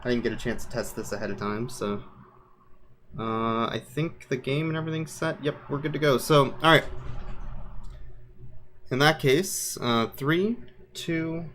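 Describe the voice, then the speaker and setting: A man's voice: medium pitch, a little slow, long pauses, fairly bright tone, slight background noise. A man giving casual, wry live commentary as he plays through a video game speedrun. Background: game music.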